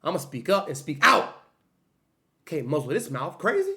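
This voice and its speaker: A man hosting a reaction channel, talking loosely in slang and breaking into quick hyped outbursts between calmer remarks. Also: on the high side. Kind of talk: casual music video reaction commentary.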